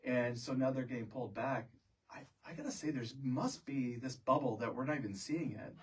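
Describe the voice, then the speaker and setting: A husky-voiced American man chats as the host of a casual interview. He talks in a joking, chatty manner and puts questions to his guests, picked up a little distance from the microphone. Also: medium pitch, moderate pace. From Florida, United States. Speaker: podcast host.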